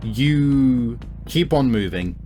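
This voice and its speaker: A man improvising narration as game master of a tabletop game, in a halting, conversational way. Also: mid-range voice, lively intonation, mellow. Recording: music behind the voice. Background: music.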